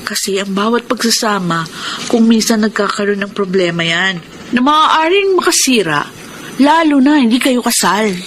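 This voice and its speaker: A woman's voice: on the high side, lively intonation, moderate pace, a bit bright, a little hiss or hum. An actress playing a concerned, moralizing female friend with a Filipino accent, advising insistently in a radio drama.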